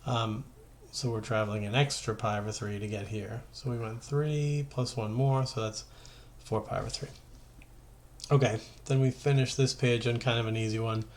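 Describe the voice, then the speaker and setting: Man teaching math, thinking out loud. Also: mid-range voice, fairly even intonation, moderate pace, slight background noise. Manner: thinks aloud, self-correcting, conversational. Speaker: high school math teacher. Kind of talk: informal worked-problem math lesson.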